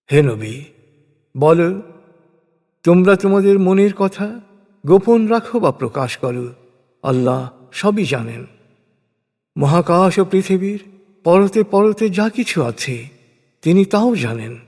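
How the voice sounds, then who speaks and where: Slow, solemn male narrator in heavy reverb. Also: high-pitched, a little mellow. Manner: measured, reverent, with deliberate pauses between phrases. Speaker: narrator of a scripture translation. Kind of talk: solemn scripted religious recitation in prose. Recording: long reverberant hall-like echo.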